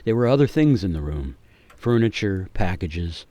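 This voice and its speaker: A man giving a measured, theatrical, suspenseful narration of a scripted radio story. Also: low voice, very animated, warm tone.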